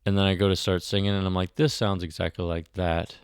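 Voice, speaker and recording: A man's voice: deep voice, little pitch movement, brisk, clear, resonant tone, no room sound, studio quality. A man from Missouri hosting a podcast, rambling and self-deprecating as he opens the episode with a casual chat. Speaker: podcast host. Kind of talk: casual solo intro to a podcast.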